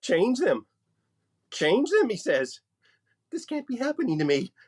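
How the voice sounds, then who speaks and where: A very high-voiced, slow-talking male store clerk, whining and sorry for himself. He repeats his words in old radio comedy fashion, as a man on the edge of hysterics. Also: huge pitch swings, exaggerated voice. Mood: exasperated despair.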